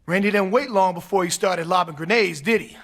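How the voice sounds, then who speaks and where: A streetwise wrestler in a game cutscene, loyal and cocky. His voice sits high, with a gravelly, husky grain. He talks like someone ready to back up a friend with fists. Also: a little fast, fairly bright tone, dry recording, clean studio sound. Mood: determined. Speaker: wrestler character. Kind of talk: scripted game cutscene dialogue.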